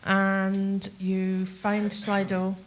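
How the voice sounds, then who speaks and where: A woman chairing a conference session, introducing speakers and reading out a code in a deliberate way. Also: mid-range voice, monotone. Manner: slow, deliberate announcing.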